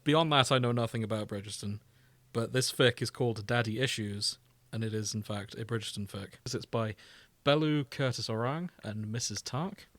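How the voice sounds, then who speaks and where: A man with a mid-pitched voice co-hosts a casual comedy podcast. He banters with mock indignation, and the sound is dry, with very little room in it. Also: moderate pace, a bit bright, no room sound. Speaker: podcast co-host. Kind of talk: casual comedic chat, reading out fan fiction tags.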